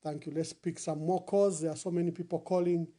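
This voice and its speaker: A man's voice: on the high side, little pitch movement, a little fast, warm tone. A man with a Zambian accent hosting a live call-in programme, taking calls and prompting callers. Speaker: radio call-in host.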